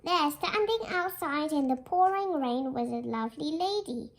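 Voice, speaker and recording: A child reading a fairy tale aloud in a careful, expressive storytelling manner, as a storybook narrator. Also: sits high, normal speed. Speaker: storybook reader.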